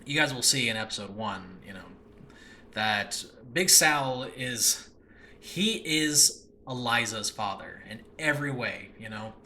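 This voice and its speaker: Bright-voiced man rambling earnestly on a podcast. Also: mid-range voice, measured pace. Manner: rambling, self-deprecating, earnest. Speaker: host and audio drama creator. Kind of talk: casual, unscripted podcast monologue. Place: makeshift room in a new home. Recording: noisy makeshift home recording.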